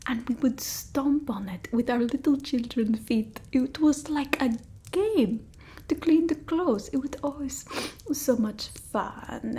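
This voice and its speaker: A woman voicing a haughty centuries-old female vampire, breathy and often whispering, in an ASMR roleplay. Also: a little high, warm tone. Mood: nostalgic, indignant. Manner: broken old-world English, dramatic and haughty. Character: theatrical female vampire, centuries old, bored, demanding, scornful of humans.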